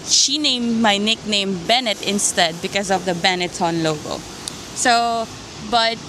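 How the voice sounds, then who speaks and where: A woman's voice: medium pitch, noisy background. A woman from the Philippines with a Filipino accent, chatting in an informal, rambling, slangy way as a vlogger. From Philippines (Manila). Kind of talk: casual chatty personal vlog. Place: quiet empty cafe. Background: music.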